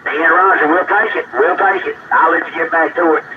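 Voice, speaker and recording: A man's voice: fairly high pitch, fairly even intonation, fairly quick. A man with a Southern US accent chatting and storytelling casually on CB radio in a folksy, colloquial way. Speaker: CB radio operator. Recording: tinny CB radio, loud static. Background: loud radio noise.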